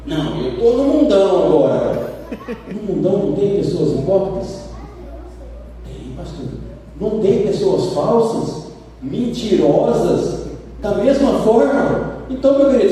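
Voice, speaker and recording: A man with a Brazilian accent preaching a sermon, telling stories with lively acted-out quotes. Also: high-pitched, a little slow, recorded from a distance, slight background noise. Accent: Brazilian Portuguese. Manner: animated storytelling, acts out quoted voices. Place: church sanctuary. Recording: roomy church hall from afar.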